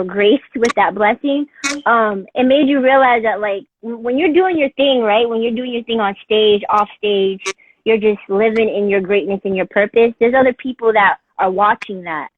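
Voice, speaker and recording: A woman's voice: fairly high pitch, normal speed, no room sound. A woman in her thirties chatting casually as interviewer, effusive and encouraging, with lots of fillers. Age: 30s. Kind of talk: casual chat. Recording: tinny phone-like dry sound.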